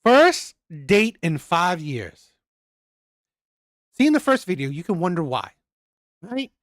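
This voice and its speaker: A man's voice: high-pitched, very animated, slow pace, no room sound, studio quality. A man commenting on a video clip in a mocking tone, repeating his points and mimicking voices. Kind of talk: reaction commentary.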